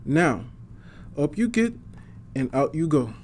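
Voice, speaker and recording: A man voicing a gentle, loving mother who comforts and encourages her young daughter in a storybook. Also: fairly high pitch, very animated, takes it slowly, a little hiss or hum, pitched above the performer's own voice. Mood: tender, encouraging. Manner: tender, encouraging.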